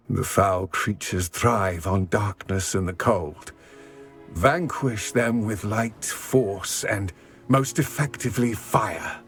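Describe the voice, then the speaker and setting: An actor playing a weary older male goblin in a video game, formal, measured and courteous, with a gravelly voice. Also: lowish voice. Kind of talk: video game cutscene acting. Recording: music under the voice. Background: music.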